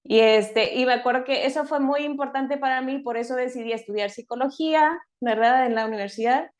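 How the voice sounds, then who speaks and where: A woman's voice: high voice, fairly quick. A woman with a mixed Chilean and Mexican accent, a guest on a podcast, telling her story thoughtfully with fillers and tag questions. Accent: mix of Chilean and Mexican Spanish. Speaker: podcast guest, mother and counselor. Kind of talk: reflective interview storytelling.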